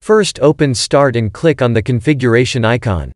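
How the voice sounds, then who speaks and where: A man's voice: mid-range voice, normal speed, no echo, studio quality. A man reading scripted step-by-step instructions in short commands, as a tutorial narrator. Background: background music.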